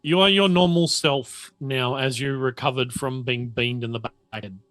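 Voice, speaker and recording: A man narrating a tabletop RPG as game master, informal and halting in his delivery. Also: medium pitch, fairly bright tone, no room sound.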